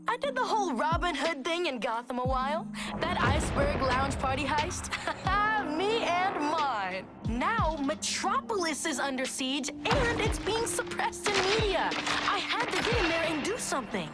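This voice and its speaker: An actress playing a cocky young woman rebel in a video game cutscene, talking in a breezy, sarcastic, chatty way. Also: high voice.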